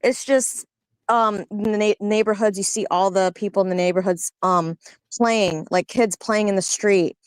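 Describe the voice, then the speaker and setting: A woman's voice: medium pitch, steady tone, clear, resonant tone, no room sound. Reflective woman in a dry room. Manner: reflective, halting with fillers. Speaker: panelist. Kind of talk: casual political discussion.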